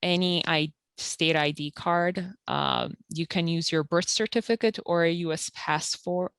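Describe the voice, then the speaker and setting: A woman who works at a community health center, calmly explaining eligibility rules and documents step by step with frequent fillers. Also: fairly low pitch, a little slow, smooth, dry recording. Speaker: health center benefits counselor. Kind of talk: informational interview.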